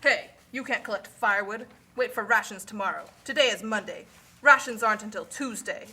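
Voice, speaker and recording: A woman playing a callous, bossy official and overseer in an amateur puppet show, speaking in a brisk, commanding way. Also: mid-range voice, pushed and bright, slightly rough. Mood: stern.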